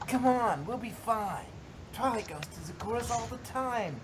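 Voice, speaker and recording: A woman's voice: animated, normal speed, lightly acted. A woman playing a brash, confident young pony filly in a fan fiction reading, casual and cocky. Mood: breezy confidence. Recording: noisy home recording with rumble.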